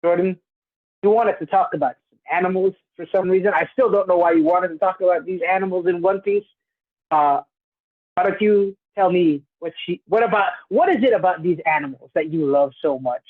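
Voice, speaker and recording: A man's voice: high-pitched, fairly quick, no echo. A young man co-hosting a casual podcast, laughing often and teasing his friend. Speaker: podcast co-host. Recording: phone-like narrow sound.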